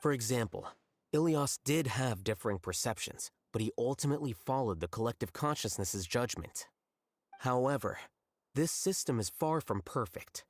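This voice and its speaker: An actor playing a rational, blunt young scholar in a video game, speaking in a measured, detached, matter-of-fact way. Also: male voice, mid-range voice, animated, no room sound, lightly acted. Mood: detached, explanatory. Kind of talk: English video game voice acting.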